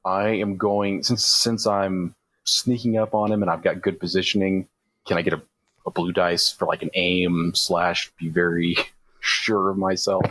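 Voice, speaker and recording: A man's voice: deep voice, flat delivery, a little slow, fairly bright tone. A man playing a tabletop roleplaying game, casually describing moves and explaining rules step by step.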